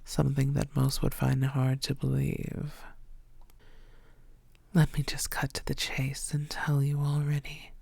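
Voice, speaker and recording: A woman playing a possessive, menacing female vampire in an intimate ASMR roleplay, teasing and often slipping into breathy half-whispers. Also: very deep voice, monotone, measured pace, darkish tone, no room sound, full character voice. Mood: teasing, sinister. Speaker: audio roleplay voice actor.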